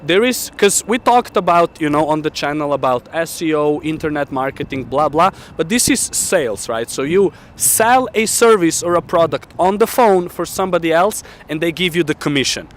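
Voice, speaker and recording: A man in his thirties hosting a casual outdoor interview, energetic and chatty with lots of fillers, holding a handheld mic. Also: on the high side, normal speed, few pauses, a little hiss or hum. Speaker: host. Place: outdoor city square in winter. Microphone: handheld mic, camera close.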